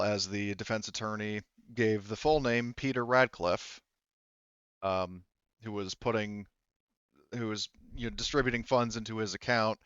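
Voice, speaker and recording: Low, smooth-voiced man as game master. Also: fairly bright tone, no room sound, highs cut off. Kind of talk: improvised tabletop game narration and casual chat.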